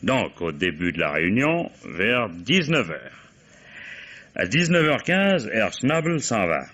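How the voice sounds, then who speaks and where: An actor playing a shrewd, mock-courteous, methodical German police commissioner interrogating suspects in a vintage French radio mystery. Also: male voice, fairly low pitch, sing-song, slow pace, a bit bright, full character voice. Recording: dull old radio-play recording.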